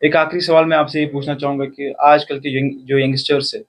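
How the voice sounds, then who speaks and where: A man's voice: medium pitch, flat delivery, a little fast. A young man hosting a podcast, asking questions politely and respectfully. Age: young adult. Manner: polite, respectful, short backchannels. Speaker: podcast host. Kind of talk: interview questions and brief affirmations.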